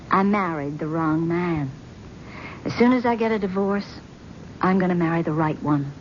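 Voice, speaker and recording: An actress playing a tired, firm and resigned estranged wife in a 1970s radio drama. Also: female voice, mid-range voice, a little hiss or hum, natural voice. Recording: muffled old radio recording.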